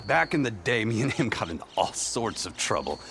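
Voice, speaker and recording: A man's voice: fairly low pitch, sing-song, fairly quick, a bit bright, a little hiss or hum. A man playing a chatty, good-natured rustic tinkerer in a video game, rambling and mildly grumbling in a folksy way. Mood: chatty, amiable.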